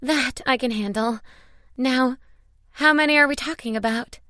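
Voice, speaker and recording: An earnest, emotional young woman speaks plainly as an audiobook narrator acts her out. Her pitch is raised and her delivery is expressive, in very dry sound. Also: normal speed, no echo. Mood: startled. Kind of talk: audiobook character dialogue.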